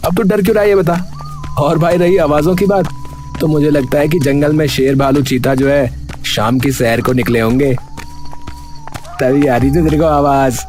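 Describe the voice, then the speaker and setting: A man's voice: on the high side, steady tone, moderate pace, darkish tone, soft background music, close to an everyday voice. A man playing a bold, teasing village friend in a cartoon story, talking in a colloquial, scoffing way.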